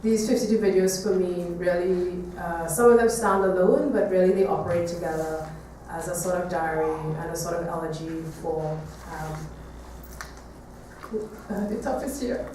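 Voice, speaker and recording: A woman from Trinidad giving an artist talk to an audience, speaking reflectively with hesitant pauses. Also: fairly low pitch. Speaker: visual artist giving a presentation. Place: echoey room, talk to an audience. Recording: echoey room, distant mic, faint noise.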